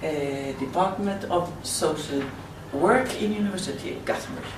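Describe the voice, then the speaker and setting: A Swedish woman with a Swedish accent, speaking halting non-native English in a slide presentation at a conference. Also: lowish voice, animated. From Sweden. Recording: roomy, distant mic with faint hiss.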